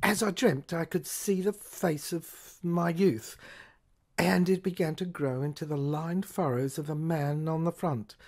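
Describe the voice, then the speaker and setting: A woman performing a scripted dramatic monologue as the narrator of an audio play, with theatrical, halting phrasing that can fall toward a whisper. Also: deep voice, darkish tone.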